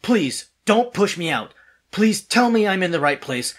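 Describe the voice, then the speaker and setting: An actor playing an anxious, polite man desperate for help in an audio drama, with a husky voice and a hesitant, earnest manner. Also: sits high, very animated, a little slow, heavily acted. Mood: pleading.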